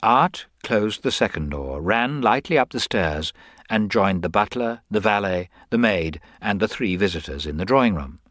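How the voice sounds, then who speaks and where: A man giving a dramatic, expressive narration of a crime story. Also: lowish voice, normal speed, no echo, studio quality.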